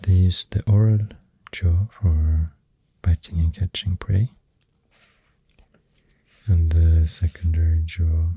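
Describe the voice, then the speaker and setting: Very low hushed ASMR voice, Swedish accent, heavily breathy and whispered, slow, soft-spoken, close and dry. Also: steady tone, no echo. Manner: hushed, unhurried, gentle rambling with pauses. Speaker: ASMR host. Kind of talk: soft-spoken ASMR, rambling facts while drawing. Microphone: close microphone. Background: pencil writing and clicking sounds.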